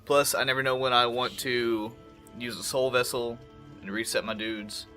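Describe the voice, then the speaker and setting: A man's voice: mid-range voice, fairly even intonation, moderate pace, sharp tone, soft background music. A young man with a congested voice, swearing and joking casually as he plays a game. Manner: profane, joking, talks back to the game. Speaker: gameplay commentator. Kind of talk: casual let's-play commentary.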